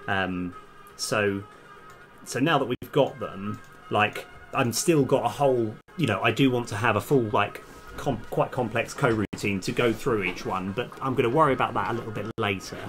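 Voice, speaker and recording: Low-voiced man thinking aloud over music. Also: fairly bright tone. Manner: halting, muttering to self while working. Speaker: game developer livestream host. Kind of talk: casual live coding commentary, thinking aloud. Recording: music behind the voice. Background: music, computer keyboard typing.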